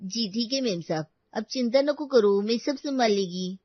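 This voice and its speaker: A woman plays a young housemaid in a cartoon story, deferential and soothing in a colloquial way. The voice is fairly high, with a dry, dulled sound. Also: expressive, moderate pace, dry recording, highs cut off. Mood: reassuring. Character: young housemaid, deferential, casually reassuring, secretly scheming.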